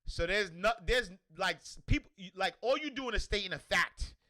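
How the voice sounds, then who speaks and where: Loud, energetic New York man. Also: high-pitched, normal speed. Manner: loud and energetic, reads comments aloud. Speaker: livestream host answering viewer questions. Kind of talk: casual livestream chat. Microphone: desk microphone.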